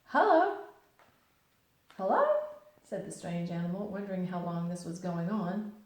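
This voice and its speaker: A woman's voice: fairly low pitch, little pitch movement, fairly quick, mellow. A woman teacher reading a children's storybook aloud expressively, with simple, explanatory phrasing. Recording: very echoey room.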